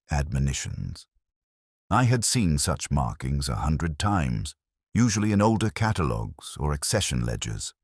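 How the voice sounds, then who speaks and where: Male narrator for literary detective fiction: extremely low register, measured and solemn yet varied in intonation. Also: no echo, studio quality. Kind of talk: scripted first-person detective story narration.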